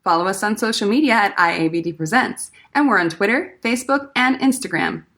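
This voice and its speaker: A woman's voice: medium pitch, a little fast, fairly bright tone. A woman announcer reading scripted end credits for a radio show.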